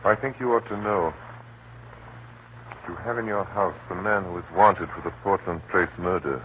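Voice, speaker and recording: An actor playing a grave, hunted man in his thirties, speaking deliberately in a 1930s radio drama. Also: sits low, steady tone, close to an everyday voice. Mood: grave, urgent. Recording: hissy, tinny 1930s radio broadcast.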